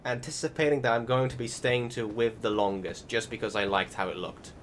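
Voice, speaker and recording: A man's voice: mid-range voice, steady tone, brisk, a little hiss or hum. A man giving casual voice-over commentary on video gameplay, rambling and questioning himself with some mild swearing. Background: music.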